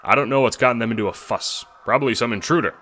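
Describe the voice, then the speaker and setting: A man's voice: mid-range voice, quick pace, bright, forceful tone, slight background noise, pitched above the performer's own voice, natural voice. A man voicing a brash old male adventurer in an audio drama, grumbling and ordering people about in old-fashioned blustery talk. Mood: brisk, bossy. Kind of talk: audio drama character acting.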